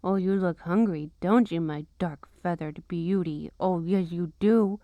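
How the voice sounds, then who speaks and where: A woman's voice: lowish voice, a little slow, dark tone, dry recording, natural voice. A woman playing a possessive, witchy girlfriend in an ASMR roleplay, teasing and cooing with sugary sweetness and an undertone of menace. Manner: teasing, cooing, sing-song baby talk to pets.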